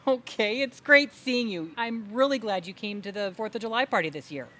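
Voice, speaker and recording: An actress playing a patient, puzzled older sister in a comedic audio play, questioning with emphatic disbelief. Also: female voice, on the high side, moderate pace, a bit bright, natural voice. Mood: cheerful. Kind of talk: comedic audio theater dialogue.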